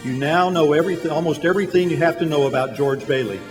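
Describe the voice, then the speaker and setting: A man's voice: on the high side, fast talker, close to an everyday voice. Man acting an authoritative heavenly storyteller over music. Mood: storytelling, solemn. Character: authoritative male heavenly senior angel recounting a life. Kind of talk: storytelling in a radio drama. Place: large church hall. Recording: echoey hall, music behind.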